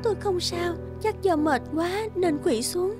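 Casting: young fox-demon maiden, kids' animation, piercingly high pitch, sly, theatrical, light music behind. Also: female voice, unhurried. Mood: sweetly coaxing. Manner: coaxing, melodramatic. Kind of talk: children's fairy-tale cartoon dubbing.

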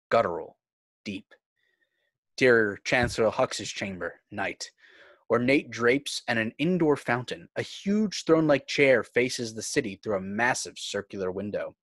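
A man serves as narrator of a screenplay. His voice is medium in pitch and recorded dry, reading scene directions as scripted narration. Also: animated, measured pace, fairly bright tone, no room sound. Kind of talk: scripted narration at a table read. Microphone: video call.

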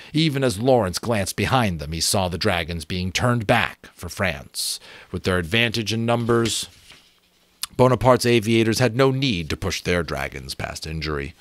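A man in his thirties with a low voice reads an adventure novel aloud. His delivery is expressive, with the odd small stumble. The sound is close and dry. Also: a little slow, no room sound. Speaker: audiobook reader. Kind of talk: dramatic reading of an adventure novel. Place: small home room with bookshelves. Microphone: close desk microphone.

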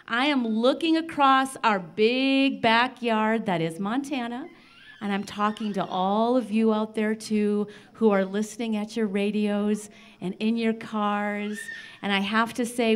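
A middle-aged American woman from western Nebraska presenting a live children's radio show, speaking directly to listeners in simple, deliberate phrases. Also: a little high, clear, resonant tone. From western Nebraska, United States. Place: large library event room with seated audience. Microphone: microphone on a stand, close in front.

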